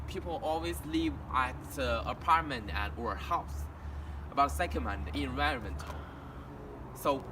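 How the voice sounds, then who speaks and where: A young man from China with a Chinese accent, whose first language is Mandarin, gives a halting walk-and-talk presentation as an international student, with frequent restarts. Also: medium pitch. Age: young adult. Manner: halting, with frequent restarts and simple learner grammar. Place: outdoors in a town, walking near a house and street. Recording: distant outdoor recording with traffic noise.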